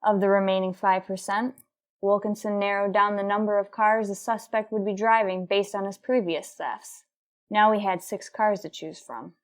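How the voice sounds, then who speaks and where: A woman's voice: medium pitch, fairly even intonation, moderate pace, close to an everyday voice. Businesslike policewoman in an old-style radio drama, acted. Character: businesslike female police sergeant narrating a manhunt. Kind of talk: 1940s radio police drama acting, narration.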